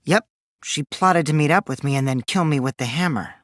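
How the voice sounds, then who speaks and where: A man's voice: on the high side, fairly quick, dry recording, studio quality, lightly acted. A man voicing a mischievous, taunting teenage boy in an English video game, with childish, singsong mockery and theatrical delivery. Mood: sly, cool. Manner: childish, singsong mockery, theatrical swings from gleeful to coolly sly.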